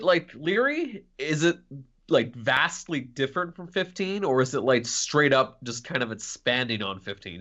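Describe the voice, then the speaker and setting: A man's voice: medium pitch, a bit bright. A man asking casual questions as a podcast panelist, on a slightly dull microphone.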